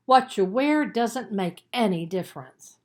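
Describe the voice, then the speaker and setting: A woman's voice: medium pitch, animated, measured pace, pitched above the performer's own voice, close to an everyday voice. A woman voicing a firm, patient mother advising her teenage son in a children's story, with emphatic, instructive delivery. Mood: firm, reassuring.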